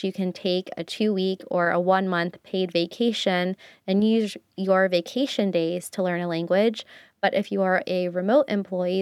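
A woman with a smooth, resonant voice explains how to learn a language, speaking earnestly and stacking her points through repetition. Her voice sits at a middle pitch. The recording is close and dry, with no room sound. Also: a little mellow, dry recording. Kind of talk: casual advice talk.

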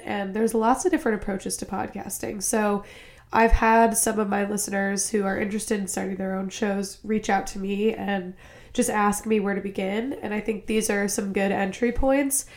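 A young woman hosting a podcast, talking in a chatty, upbeat way with quick asides. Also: medium pitch, a little mellow. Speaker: podcast host. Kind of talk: casual podcast interview. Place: home in a cold city.

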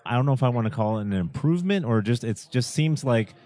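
A man's voice: mid-range voice, expressive, fast, dark tone. A man co-hosting a casual interview, talking earnestly and often restarting his words. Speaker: podcast co-host.

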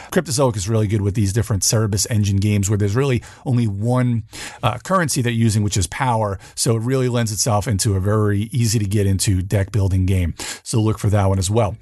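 A man's voice: mid-range voice, darkish tone, no echo, clean studio sound. A man hosting a podcast, giving a casual news rundown on board games in an informal, loosely phrased way. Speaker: podcast host.

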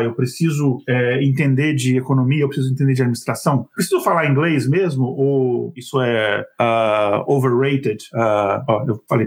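A Brazilian man hosting a podcast panel chat, talking bluntly with many restarts and fillers. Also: mid-range voice. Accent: Brazilian Portuguese. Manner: rapid, full of restarts and fillers, blunt and outspoken. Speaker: podcast host. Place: living in the United States.

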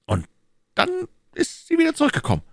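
An imperious male cardinal from an audiobook. The voice is pitched strikingly high and swings widely. He questions briskly and commands keenly, in a dry recording. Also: wildly swooping pitch, gravelly, dry recording, full character voice. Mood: eager, probing. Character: imperious, keen, commanding cardinal, adult man. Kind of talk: audiobook character dialogue.

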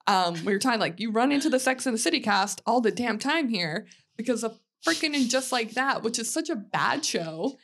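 A woman's voice: fairly high pitch, expressive, brisk, few pauses, a bit bright. A woman from Canada chatting casually as a comedy writer guest on a podcast. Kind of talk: casual chat.

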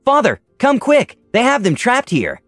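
A man's voice: very high-pitched, huge pitch swings, normal speed, no room sound, clean studio sound, soft background music, pitched above the performer's own voice, exaggerated voice. A man voicing a fierce young female dragon, urgent and pleading, in a told fantasy story. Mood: frantic, urgent. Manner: urgent, insistent.

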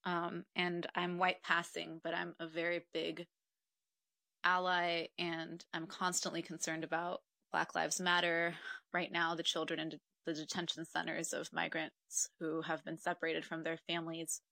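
Woman guest, twenties, from Mexico; low-ish, bright and dry, reflective, earnest. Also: completely flat delivery, normal speed, creaky, no room sound. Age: 20s. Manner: reflective, earnest, with frequent ums. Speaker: podcast guest. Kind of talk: casual interview conversation.

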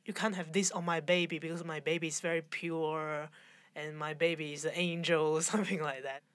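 A woman in her forties from Hong Kong, speaking with a Hong Kong accent and halting, searching English as an interview guest. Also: sits low, fairly even intonation, dry recording. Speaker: fashion designer, guest. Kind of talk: conversational interview.

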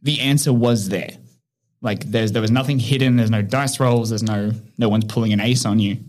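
A man's voice: on the low side, steady tone, normal speed, darkish tone. A man who founded an online chess training platform, speaking reflectively as a guest in a casual interview and often laughing as he searches for words.

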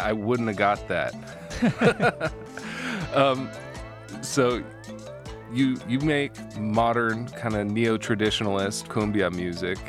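A man hosting a relaxed interview, talking with lots of fillers and restarts. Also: fairly low pitch. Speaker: podcast host. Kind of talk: casual interview.

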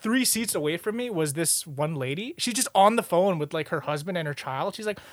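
A man's voice: on the high side, expressive, fairly quick, dry recording, clean studio sound. A young man telling a story in casual, slangy, sweary chat, acting out what people said as he goes. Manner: slangy, profane, acts out quotes conversationally. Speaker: podcast co-host telling a story.

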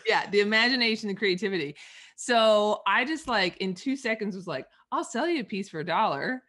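A woman money mindset coach, a podcast guest, telling stories in a lively, chatty way. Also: mid-range voice, fairly quick. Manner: lively storyteller, laughs often, lots of likes. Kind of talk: casual interview chat.

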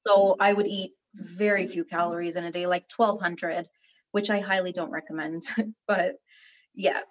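A young woman from Albania, a guest in a casual interview, telling her story in a frank, animated way. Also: lowish voice, normal speed, poor audio.

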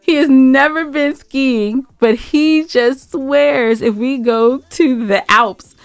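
A woman's voice: high voice, slow pace, clean tone, no echo. A woman co-hosting a podcast in casual banter, teasing her partner and prodding with questions. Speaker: podcast co-host.

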